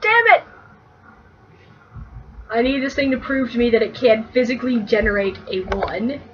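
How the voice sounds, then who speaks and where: A woman's voice: a little high, animated, moderate pace, background noise. A woman co-host in casual comic banter, complaining in an exaggerated, exclamatory way, on a dull lo-fi recording. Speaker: podcast co-host.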